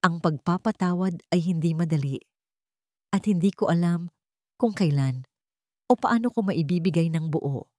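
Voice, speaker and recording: A woman voicing a hurt but tender, forgiving wife in a romance audio story, earnest and measured. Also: lowish voice, a little mellow, no room sound, studio quality, natural voice.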